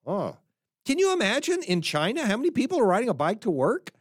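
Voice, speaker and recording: Animated American man lecturing on philosophy. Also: high voice, dry recording, clean studio sound. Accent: General American. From United States. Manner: thoughtful, halting, with frequent restarts and asides. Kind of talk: unscripted spoken lecture.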